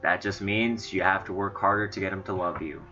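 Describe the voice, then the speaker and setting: A man's voice: deep voice, fairly even intonation, a little fast, sharp tone, muffled recording, faint music behind. A man with a stuffy, congested nose, rambling chattily in casual commentary on a game he is playing. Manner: rambling, chatty, many fillers. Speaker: let's play host.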